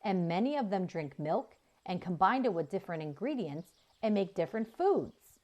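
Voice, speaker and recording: Woman acting female robot, gentle temper, patient, measured, sincere, storybook character. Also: mid-range voice, sing-song, muffled recording. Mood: explanatory.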